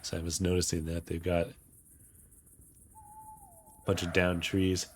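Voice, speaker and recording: A man's voice: sits low, monotone, unhurried, a little hiss or hum. A man streaming a game, reading visual novel dialogue aloud. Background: soft guitar game music.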